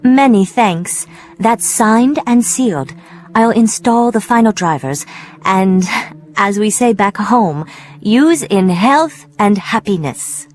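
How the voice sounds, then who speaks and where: An actress playing a businesslike tech company representative in a radio drama, polite, measured and firm. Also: female voice, medium pitch, expressive, darkish tone. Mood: courteous.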